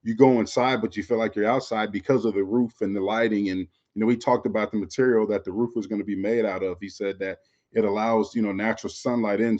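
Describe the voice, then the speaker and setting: A man's voice: lowish voice, darkish tone. Slightly muffled American man talking sports. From Florida, United States. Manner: conversational, repeats phrases, often says "you know". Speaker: former pro football player, podcast co-host. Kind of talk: casual sports podcast chat.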